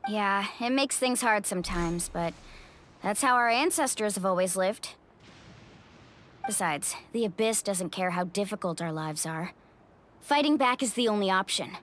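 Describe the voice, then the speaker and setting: A woman voicing an upbeat, brave young surfer warrior in a video game, energetic and casual. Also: medium pitch, normal speed, slight background noise, natural voice. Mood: steady, casual.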